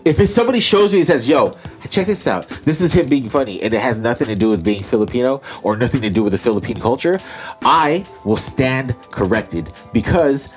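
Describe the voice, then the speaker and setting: A man's voice: medium pitch, a little fast, soft background music. A man co-hosting a podcast in casual chat, making his points with emphasis and questions. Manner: animated, argues with emphasis, asks questions. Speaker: podcast co-host.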